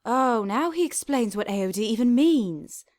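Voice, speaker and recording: A woman's voice: fairly high pitch, expressive, normal speed, a little mellow, dry recording, close to an everyday voice. A woman voicing a weary, sardonic male pony doctor who heckles a story in a dry, exasperated way. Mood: sarcastic exasperation.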